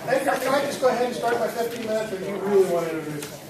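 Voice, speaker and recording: A man's voice: sits high, animated, rapid-fire. A man presenting informally to a club, with a wry, joking manner. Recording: echoey room, distant mic, crowd murmur.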